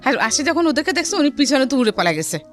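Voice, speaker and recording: A middle-aged woman with a Bangladeshi accent telling her life story in a studio interview, haltingly and often repeating phrases. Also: sits high, very animated. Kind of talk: personal storytelling in a radio interview. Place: radio studio. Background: background music.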